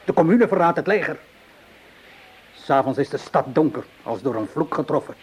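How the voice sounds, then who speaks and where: An actor playing a middle-aged, cynical Parisian tavern keeper in a radio play, speaking in a weary, sardonic, measured way. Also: male voice, a little high, expressive, a little mellow, slightly rough. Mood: grim, weary. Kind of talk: Dutch radio play acting. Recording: noisy old radio play recording.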